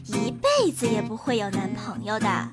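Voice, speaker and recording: A woman's voice: medium pitch, huge pitch swings, moderate pace, loud music behind the voice. A woman playing a teasing young girl in a Chinese radio drama. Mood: scornful.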